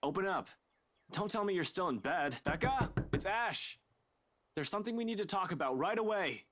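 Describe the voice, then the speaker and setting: A man's voice: sits high, animated, moderate pace, fairly bright tone. An actor playing a hot-tempered, worried young man with a blunt, urgent manner in a video game.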